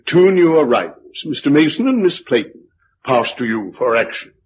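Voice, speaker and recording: An actor playing an officious British colonel in a radio drama, clipped, formal and bureaucratic. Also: male voice, mid-range voice, big pitch swings, normal speed, a little mellow, slightly rough. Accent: British English. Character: fussy, officious military colonel taking particulars. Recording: muffled radio drama recording, faint noise.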